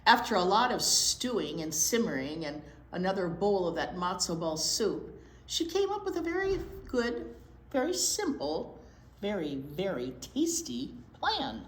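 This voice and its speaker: Slow, animated woman reading a picture book. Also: mid-range voice. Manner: animated, pauses to wonder aloud and question young listeners. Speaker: children's storytime reader at a library. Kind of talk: expressive read-aloud picture book storytelling with asides. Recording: roomy echo with clear background noise.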